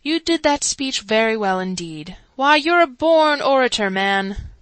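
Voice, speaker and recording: A woman voicing a sly, crafty male official with a hearty, flattering manner. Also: a little high, a little slow, clear, resonant tone, no echo, pitched above the performer's own voice.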